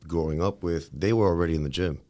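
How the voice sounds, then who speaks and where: Very deep-voiced man from Miami. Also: quick pace, a little mellow. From Miami, Florida, USA. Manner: loose, rambling, many fillers and restarts. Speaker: guest, fitness trainer. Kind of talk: casual interview talk.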